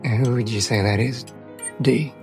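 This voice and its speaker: A man voicing a courteous uncle adventurer in a tabletop game, speaking in a measured, halting, politely inquisitive manner. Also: medium pitch, fairly even intonation, music in the background, lightly acted.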